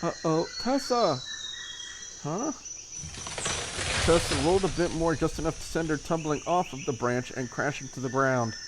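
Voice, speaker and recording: A man's voice: on the high side, fairly even intonation, brisk, hardly any pauses, background noise. A man reading scripted visual novel narration aloud in a dramatic storytelling style. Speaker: reader of a visual novel for a video channel.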